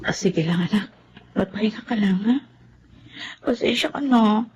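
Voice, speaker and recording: A woman's voice: medium pitch, moderate pace, over loud music, natural voice. A woman acting an anxious, devoted young mother in a Filipino melodrama, speaking with emotional, pleading delivery. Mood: tender, worried.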